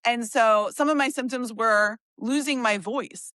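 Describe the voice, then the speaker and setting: A woman's voice: a little high, unhurried, sharp tone, no room sound. A woman co-hosting a podcast, talking casually and relatably about personal experience. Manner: chatty, relatable, with frequent "like" fillers. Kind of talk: casual conversational chat.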